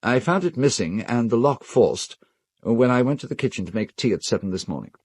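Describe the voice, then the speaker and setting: An actor playing a fastidious, polite British gentleman host in an audio drama, measured and slightly diffident. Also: mid-range voice, lively intonation, husky, dry recording. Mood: discreet, troubled. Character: courteous, fastidious gentleman host of an island estate.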